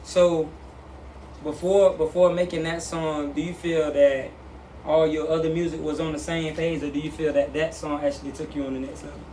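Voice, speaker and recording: A man hosting a casual interview, relaxed and quick with short affirmations. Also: on the high side, echoey room. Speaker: interviewer host. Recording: far from mic with faint noise.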